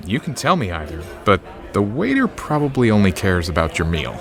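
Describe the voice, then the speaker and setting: A man's voice: on the low side, big pitch swings, fairly quick, music in the background. A man playing a charming, slightly nervous date in an audio drama, playful and self-deprecating. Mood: sheepish, flirty.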